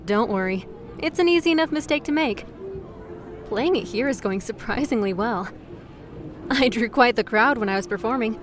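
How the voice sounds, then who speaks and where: A woman's voice: a little high, expressive, moderate pace, long pauses, music in the background, natural voice. An actress playing a friendly, easygoing female street musician in a visual novel game. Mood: cheerful, easygoing. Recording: noisy outdoor street ambience behind voice. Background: urban outdoor ambience, traffic noise.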